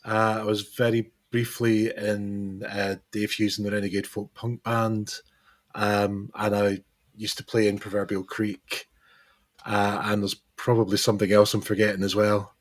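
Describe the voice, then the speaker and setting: A man with a hoarse, recovering voice, chatting as a musician guest in an interview, hesitant and full of restarts. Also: lowish voice, little pitch movement, a little slow. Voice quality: hesitant, lots of fillers and restarts. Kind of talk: casual interview chat.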